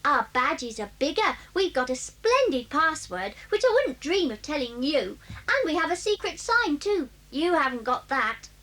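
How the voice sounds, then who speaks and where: A woman's voice: high voice, sing-song, a little slow, bright, forceful tone, full character voice. An actress playing a bossy, boastful young schoolgirl in a children's audio drama. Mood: boastful, taunting. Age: child figure. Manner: boastful, commanding.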